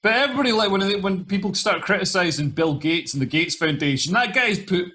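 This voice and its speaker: A man with a fairly high voice talks very quickly as a podcast co-host. His delivery is casual comic banter, flippant and joking. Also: expressive, bright, forceful tone.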